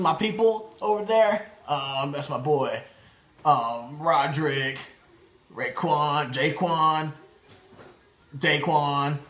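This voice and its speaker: A man playing a swaggering young tough guy who talks in slangy, halting, repetitive bursts in an improvised puppet skit. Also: fairly high pitch, a bit bright, close to an everyday voice. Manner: slangy, halting, repeats himself. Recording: echoey amateur room recording.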